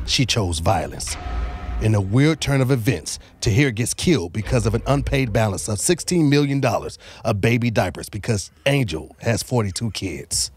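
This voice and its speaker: Male trailer narrator, lowish voice, mock-dramatic read, studio mic with some background noise. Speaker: comedian contestant. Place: podcast studio. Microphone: studio microphone with headset.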